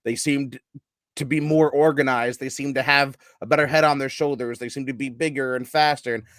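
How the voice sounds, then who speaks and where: A man co-hosting a casual sports podcast, animated and profane, now and then bursting into loud yelling. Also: mid-range voice, fairly quick, no echo, clean studio sound. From United States. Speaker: podcast co-host.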